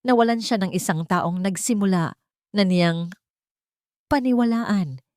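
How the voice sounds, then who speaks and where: A woman narrating a romantic drama in a solemn, measured, theatrical storytelling style. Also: mid-range voice, darkish tone, no echo.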